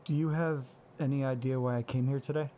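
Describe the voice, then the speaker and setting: A man's voice: medium pitch, steady tone, brisk, warm tone, slight background noise, lightly acted. A man playing a nervous, hesitant young man who apologizes and stumbles over his words in an audio drama. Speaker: lead character.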